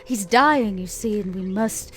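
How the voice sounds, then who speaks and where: A woman voicing a haughty, scheming vampire lady in a tabletop game, with a dramatic, halting manner. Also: medium pitch, lightly acted. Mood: dramatic, urgent.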